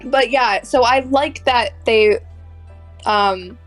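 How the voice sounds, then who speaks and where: A woman co-hosting a podcast, talking casually as a fan in a halting way that circles back mid-thought. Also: fairly high pitch, soft background music. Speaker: podcast co-host. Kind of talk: casual fan discussion of an animated series.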